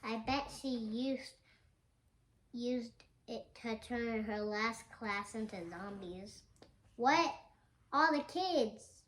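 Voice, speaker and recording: Slow, high-voiced young girl reading aloud. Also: fairly bright tone. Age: child. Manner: halting, sounding out words. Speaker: young reader on a home video. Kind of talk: reading a graphic novel aloud.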